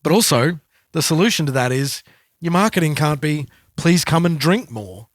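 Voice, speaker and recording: A man's voice: on the high side, expressive, moderate pace, dry recording, clean studio sound. An Australian man talking casually as a co-host on a music podcast.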